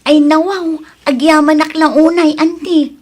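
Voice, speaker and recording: A woman's voice: sits high, little pitch movement, a little slow, darkish tone. A woman acts as an earnest young daughter with an Ilocano accent. She sounds plaintive and wronged yet stays polite, in a radio-drama performance. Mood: grateful, apologetic.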